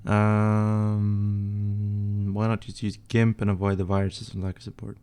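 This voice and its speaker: A man's voice: lowish voice, little pitch movement, measured pace, a little mellow, clear, resonant tone, soft background music. Offhand young man on a livestream. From Sweden. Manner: offhand, mumbling, swears under his breath, trails off while working. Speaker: livestream host answering chat questions. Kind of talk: casual livestream chat while editing images.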